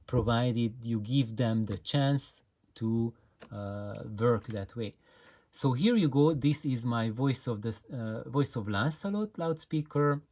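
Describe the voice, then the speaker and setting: A man talking through technical charts at an unhurried, deliberate pace, pointing out each detail in turn. His pitch sits in an ordinary middle range. He sounds like a hands-on designer presenting his own loudspeaker build. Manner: methodical, explains step by step with pointing remarks. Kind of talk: technical explanation walking through frequency graphs.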